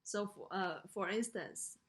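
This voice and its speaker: A woman from China with a Chinese accent giving a slide lecture, speaking haltingly with frequent hesitations and checking in with short tag questions. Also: medium pitch. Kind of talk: slide lecture on design usability.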